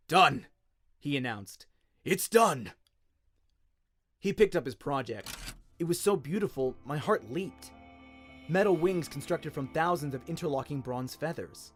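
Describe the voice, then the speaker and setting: A man narrating a story in a dramatic, scripted audiobook style. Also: fairly high pitch.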